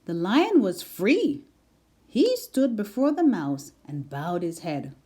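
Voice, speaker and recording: A woman reading a children's picture book aloud as storyteller, slow and expressive. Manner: slow and expressive, big pitch swings.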